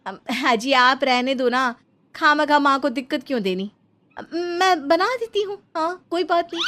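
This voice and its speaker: A woman as a high, animated cartoon daughter-in-law with Indian accent. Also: moderate pace, a little hiss or hum. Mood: flustered. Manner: theatrical, mixes English into speech. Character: stubborn, chirpy vegetarian young daughter-in-law. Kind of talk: cartoon story acting.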